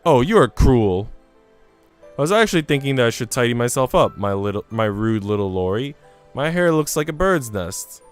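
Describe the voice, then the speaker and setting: A man's voice: mid-range voice, big pitch swings, normal speed, smooth, lightly acted. Guy doing a proper teasing lady from a visual novel, sweet n playful, clean voice, music behind. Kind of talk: visual novel read-aloud.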